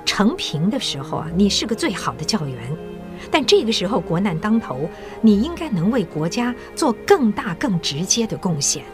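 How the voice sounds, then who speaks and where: An actress playing a middle-aged female school principal who secretly recruits agents, speaking in a measured, coaxing and kindly authoritative way in an audio drama. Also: mid-range voice, sing-song, over music, lightly acted. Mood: encouraging. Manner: measured, coaxing, elder's patient tone.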